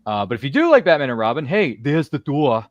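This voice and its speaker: A middle-aged man hosts a casual live roundtable in a mid-range voice. He is animated and joking, full of mock exclamations, and the sound is dry with little room on it. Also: medium pitch, fast talker, dry recording, lo-fi sound. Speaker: host of a writers' roundtable. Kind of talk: casual live panel chat with viewer comments.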